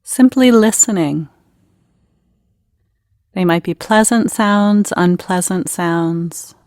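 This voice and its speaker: A woman leading a guided meditation in an unhurried, gentle, instructional manner with pauses between phrases. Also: fairly low pitch, no echo, studio quality.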